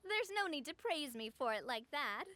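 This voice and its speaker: A woman's voice: high-pitched, big pitch swings, normal speed, no room sound, exaggerated voice. A woman voicing a cheerful, gracious young noblewoman who leads a gang in a game. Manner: warm, gracious, upbeat.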